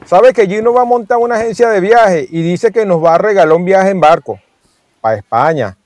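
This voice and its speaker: An actor playing a bossy, jealous, folksy Venezuelan bar owner in a radio soap, talking in a blustery, colloquial way. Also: male voice, high voice, expressive, normal speed, darkish tone, no echo. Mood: affable. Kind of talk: radionovela acting.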